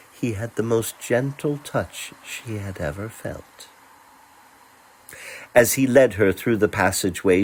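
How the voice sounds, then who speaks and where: A man narrating a fantasy romance as a storyteller, in a tender, theatrical manner with dramatic pauses. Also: lowish voice.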